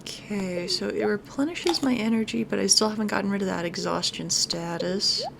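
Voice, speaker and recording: A woman's voice: medium pitch, normal speed, vocal fry, noisy background. A woman hosting a gaming video with casual, chatty commentary, thinking aloud as she plays. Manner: chatty, thinking aloud, reads game dialogue aloud. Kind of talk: casual let's-play commentary. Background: background music.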